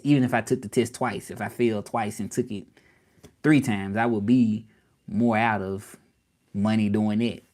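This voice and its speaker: A man's voice: fairly low pitch, a little mellow. Rambling Southern man chatting live. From Memphis, Tennessee, US South. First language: English. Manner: rambling, repeats himself, questions the viewers directly. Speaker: live streamer. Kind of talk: casual live stream chat.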